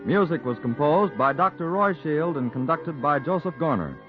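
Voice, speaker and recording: A man's voice: a little high, animated, normal speed. An American man reading scripted credits as a 1940s radio announcer. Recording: muffled 1940s radio broadcast. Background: loud music.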